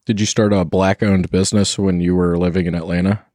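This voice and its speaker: A man's voice: low voice, flat delivery, a little fast, a little mellow, no echo. A man hosting a casual interview, asking curious, prodding questions. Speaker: talk show host.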